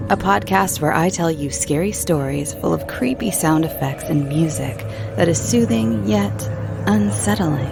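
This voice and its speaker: A woman's voice: low voice, vocal fry. A woman reading a scripted promo as the host of a horror podcast. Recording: music behind the voice. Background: background music.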